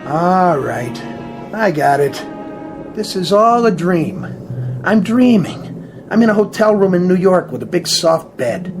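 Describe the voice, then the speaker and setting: A man's voice: high voice, lively intonation, a little slow, over music. An actor playing a blunt, sardonic, anxious airliner crewman who cannot believe his situation, in an audio drama. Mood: disbelieving.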